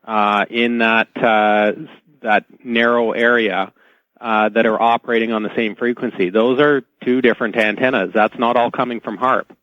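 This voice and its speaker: A man with a low voice speaks as a guest on a radio show. He sounds dry and slightly muffled on a lo-fi phone line, with measured, halting phrasing. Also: level tone, dry recording. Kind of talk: unscripted interview commentary.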